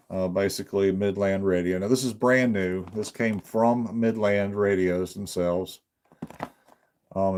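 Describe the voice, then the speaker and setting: Deep-voiced man doing a halting unboxing. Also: darkish tone. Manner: halting, thinking aloud. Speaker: unboxing video host. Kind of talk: casual product unboxing commentary.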